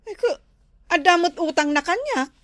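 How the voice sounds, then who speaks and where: A woman's voice: very high voice, expressive, moderate pace, fairly bright tone, no echo. A woman with an Ilocano accent playing a nosy, complaining creditor in a comedy drama. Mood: surprised.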